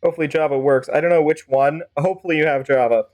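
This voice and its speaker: A man's voice: a little high, fairly even intonation, fast, a little mellow. A man livestreaming a game, talking in a casual, rambling, chatty way and slipping into playful role-play. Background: game music.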